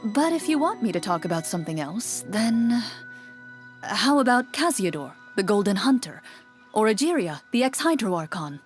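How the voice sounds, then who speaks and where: A woman playing a composed young swordswoman and game master in a video game, speaking in a measured, formal, matter-of-fact way. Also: medium pitch, sing-song, faint music behind, close to an everyday voice. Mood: composed, explanatory.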